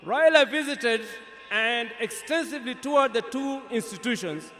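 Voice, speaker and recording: A man with a Kenyan accent reading a formal, solemn scripted eulogy aloud, with emphatic, deliberate phrasing. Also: very high-pitched, a bit bright. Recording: long reverb of a large public address.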